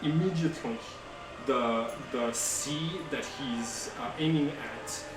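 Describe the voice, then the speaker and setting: Slow, halting male game master, roomy sound. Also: mid-range voice. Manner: halting, searching for words. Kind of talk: improvised tabletop game narration. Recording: roomy, distant mic, music behind. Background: music.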